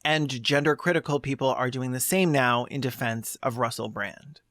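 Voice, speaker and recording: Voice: mid-range voice, moderate pace, fairly bright tone, no room sound. Sarcastic video essayist, dry close recording. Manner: sarcastic, scathing, blunt. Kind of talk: video essay commentary mixing scripted narration with asides.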